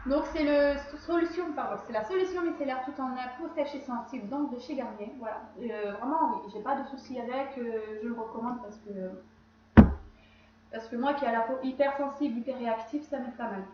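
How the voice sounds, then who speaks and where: High-voiced woman in a very echoey room. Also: moderate pace, a little hiss or hum. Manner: chatty, rambling, often corrects herself. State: has a cold. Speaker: beauty vlogger. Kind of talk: casual skincare routine chat. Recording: very echoey room, slightly dull sound.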